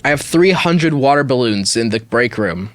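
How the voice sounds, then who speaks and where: Male podcast host, lively swooping delivery, close dry sound, playful and casual. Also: medium pitch, moderate pace, no echo. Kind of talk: casual comedy podcast banter, ad read and story reading.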